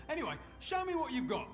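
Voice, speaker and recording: A man's voice: very high voice, sing-song, moderate pace, fairly bright tone, long reverb tail, exaggerated voice. An actor playing a chatty, boastful wasteland trader in a video game, with a gossipy salesman's patter.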